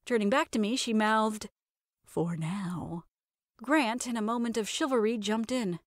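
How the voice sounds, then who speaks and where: A woman narrates comic fiction in the first person with a fairly high voice. Her delivery is conversational and sardonic, like casual storytelling with a wry edge. The sound is close and dry. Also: steady tone, normal speed, dry recording.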